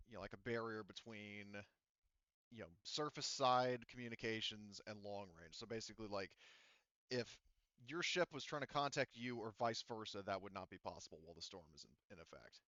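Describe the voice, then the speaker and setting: Low-voiced game master, patient and explaining. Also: male voice, normal speed, bright, forceful tone, no room sound, dull audio. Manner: patient, explanatory, many fillers. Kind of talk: explaining rules in a tabletop game session.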